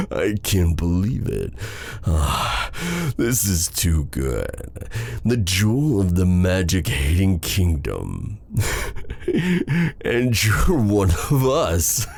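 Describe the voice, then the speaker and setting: A man playing a possessive, silky dark sorcerer in a theatrical fantasy ASMR roleplay, drawing out courtly endearments with quiet menace. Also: sits low, big pitch swings, drawn-out words, creaky, full character voice. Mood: gleeful, possessive.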